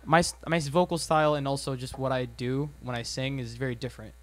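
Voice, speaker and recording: A man's voice: mid-range voice, steady tone, brisk, no echo. A young man in casual chat among bandmates, often stammering and restarting his sentences. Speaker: band drummer, podcast guest.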